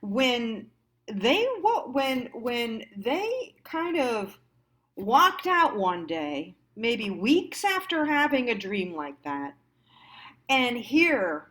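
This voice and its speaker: Slow-talking American woman, musing and halting. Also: a little high, a bit bright. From United States. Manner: halting, musing, emphatic on key words. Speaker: host of a personal storytime show. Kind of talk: reflective monologue to an audience.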